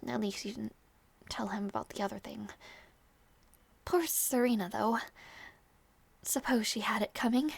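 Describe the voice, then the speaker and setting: A woman acting a young princess in an intimate audio roleplay, tender and wistful, with soft, regal phrasing that hides sadness. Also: fairly high pitch, vocal fry, breathy edge, no echo, exaggerated voice. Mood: musing. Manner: soft, measured, regal phrasing with small teasing turns.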